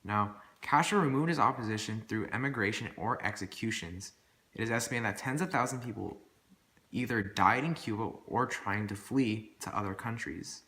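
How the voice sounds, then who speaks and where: Male amateur newsreader, lowish bright voice, scripted bulletin delivery, recorded in an echoey room. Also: moderate pace. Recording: echoey room with faint background noise.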